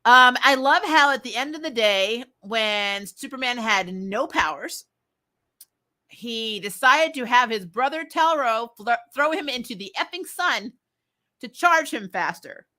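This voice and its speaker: A woman giving casual, opinionated commentary as a show host, chatty and animated, with blunt, slangy phrasing. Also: a little high, unhurried.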